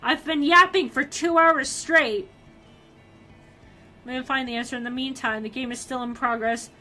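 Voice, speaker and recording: A young woman reads a story aloud to her stream in a somewhat high, sharply bright voice. She keeps it animated and excitable, tripping over words and starting over as she goes. Soft music plays faintly behind her. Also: moderate pace. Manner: animated, excitable delivery with frequent restarts.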